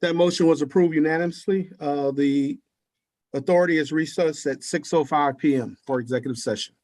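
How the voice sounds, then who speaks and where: A man's voice: a little high, a little fast, darkish tone. A man speaking as a clerk in a virtual public meeting, formally announcing agenda items and roll calls in a procedural way.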